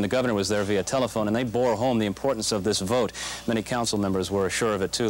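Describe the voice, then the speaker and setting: A man's voice: on the low side, poor audio. A man reporting live for television news from a council chamber in a matter-of-fact way. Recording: crowd chatter behind, local TV broadcast. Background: crowd murmur.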